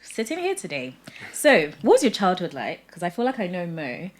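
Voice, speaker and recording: A woman's voice: on the low side, huge pitch swings, normal speed. A young woman hosting a podcast, chatting with an enthusiastic, encouraging manner. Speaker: podcast host. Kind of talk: casual interview chat.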